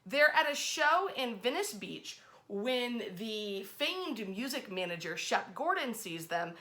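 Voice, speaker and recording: A woman with a very bright, forward voice pitched a little high tells history as an informal story. She speaks conversationally but with theatrical emphasis, leaning on key words like a host guiding listeners through a tale. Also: sing-song, takes it slowly.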